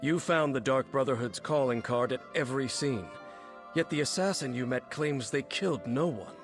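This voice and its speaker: An actor voicing a sober, dutiful commander giving a measured, formal, serious quest briefing in a video game. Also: male voice, mid-range voice, close to an everyday voice. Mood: grave, concerned. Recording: game dialogue over background music.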